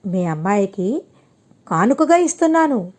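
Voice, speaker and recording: A woman's voice: medium pitch, sing-song, a little slow, a little mellow, voice pushed down. A woman voicing a generous, humble older family patriarch in a reassuring, kindly manner for an audio storybook.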